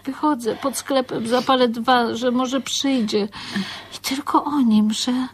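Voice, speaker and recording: Radio play: actress as coarse, dreamy woman guard, high voice, unhurried, halting, colloquial, hopelessly in love. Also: fairly even intonation, lightly acted. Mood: wistful. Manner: halting, repeats words, colloquial. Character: lovesick coarse female shop security guard, dreamy. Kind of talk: radio drama acting.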